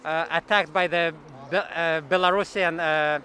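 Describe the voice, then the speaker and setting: A man's voice: a little high, steady tone, measured pace, pushed and bright. A man from the former Soviet Union with an Eastern European accent, explaining his views hesitantly in a street interview. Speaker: rally participant giving an opinion. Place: outdoors at a city rally in Canada.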